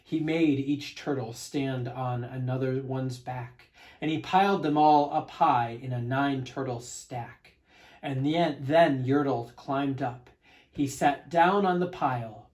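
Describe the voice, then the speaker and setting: Male read-aloud storyteller for children: unhurried, expressive, emphatic narration at a medium pitch.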